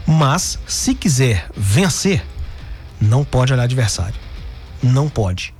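A man's voice: mid-range voice, big pitch swings, takes it slowly, a little mellow, soft background music. A man from Brazil hosting a sports radio show, talking through football news in a chatty way, often tagging his sentences with questions. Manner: chatty, frequent "né?" tags and restarts.

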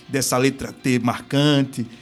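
A man with a Northeastern Brazilian accent hosting a live talk stream, chatting in a lively way and tossing in playful regional exclamations. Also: mid-range voice, slow pace, faint music behind. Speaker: host of a live business talk show. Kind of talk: casual live-stream chat.

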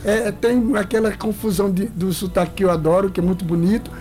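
A man with a blended northeastern Brazilian accent chats as a guest, relaxed and enthused. His voice is quite high yet mellow. Faint music plays underneath. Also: fast. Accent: mixed northeastern Brazilian. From Bahia, Brazil. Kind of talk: interview chat.

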